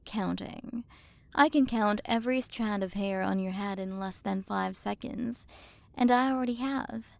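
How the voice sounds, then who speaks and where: A woman's voice: medium pitch, gravelly, no room sound. A woman playing a haughty, possessive alien queen and scientist who talks down in a commanding, teasing way, in an ASMR roleplay.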